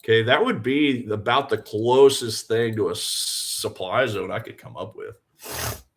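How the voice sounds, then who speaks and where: A man's voice: mid-range voice, a little slow. A man in his forties hosting a live trading stream. He talks in an earnest, fired-up way, repeats phrases for emphasis and asks the viewers rhetorical questions. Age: 40s. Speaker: livestream trading host. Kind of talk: live-stream motivational chat and trading commentary.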